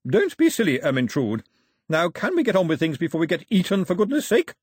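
A man voicing a haughty, sharp-tongued aristocratic grandmother in an audiobook, imperious and scolding. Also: high voice, wildly swooping pitch, a little fast, breathy edge, dry recording, clean studio sound, voice pushed up, heavily acted. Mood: indignant. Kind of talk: audiobook character voice.

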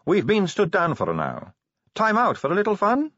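A man's voice: sits high, huge pitch swings, moderate pace, fairly bright tone, dry recording, muffled recording, voice pushed up, heavily acted. A man voicing a teasing, self-assured female vampire police officer in an audiobook story. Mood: coaxing. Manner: breezy, needling, coaxing.

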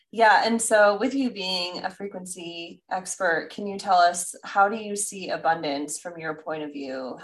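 A woman host with a low, gravelly voice affirms her guest before posing an open question. She sounds set back from the microphone, so her voice comes across thinner and more distant. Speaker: podcast host. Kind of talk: casual interview chat. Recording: distant mic.

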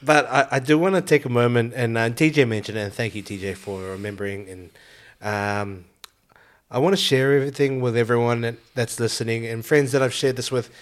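A man's voice: medium pitch, brisk. A man, raised there, hosting a casual podcast from home and rambling with lots of fillers and restarts. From New Zealand. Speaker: podcast host.

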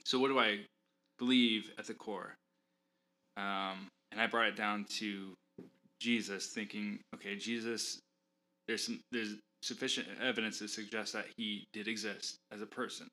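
A man's voice: on the low side, steady tone, moderate pace, bright, forceful tone. A man appearing as a podcast guest, answering thoughtfully with hesitant restarts. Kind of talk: casual conversation.

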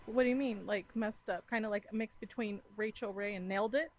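A woman's voice: mid-range voice, brisk, dull audio, a little hiss or hum. A woman hosting a podcast in casual chat, teasing and laughing often. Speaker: podcast host.

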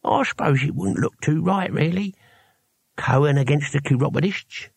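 A man voicing a gruff, wry old barbarian hero in a storybook, toothless and mumbling, with colloquial grumbling speech. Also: a little high, moderate pace, no room sound. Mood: sheepish, wry. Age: older.

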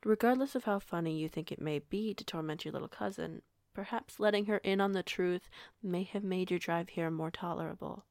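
Woman acting a creaky-voiced, composed werewolf alpha. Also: on the low side, darkish tone, no echo, clean studio sound, lightly acted. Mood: wry, composed. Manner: formal, measured wording, reassuring. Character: composed, courteous female werewolf pack alpha, gently authoritative. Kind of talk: audio roleplay acting.

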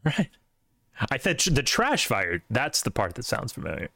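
Animated joking podcast co-host man. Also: normal speed, vocal fry, no echo, studio quality. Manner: animated, joking, jumps up in pitch. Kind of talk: casual chat.

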